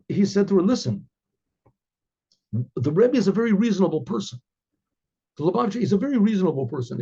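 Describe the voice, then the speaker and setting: Male storyteller, rabbi type: fairly high-pitched, husky, rambling and conversational, often clearing his throat; dull lo-fi sound. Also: dark tone. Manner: rambling, conversational, frequent hesitations and repetitions. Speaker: rabbi telling religious stories. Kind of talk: informal oral storytelling with religious commentary. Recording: dull, lo-fi recording.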